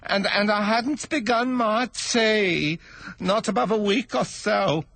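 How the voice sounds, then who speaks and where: A man voicing a nervous, stammering male hatter who speaks in a slow, trembling voice. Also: high voice, sing-song, a bit bright, dry recording, pitched above the performer's own voice, exaggerated voice.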